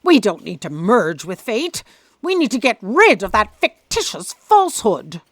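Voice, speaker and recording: A woman's voice: mid-range voice, big pitch swings, a little slow, dry recording, studio quality. A woman playing a haughty, indignant chief editor in a comic audio drama, pompous and commanding.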